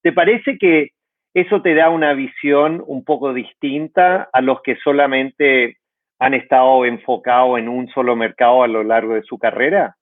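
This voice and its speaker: A man's voice: medium pitch, fairly even intonation, moderate pace. Male podcast host on a phone-like line. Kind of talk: conversational interview.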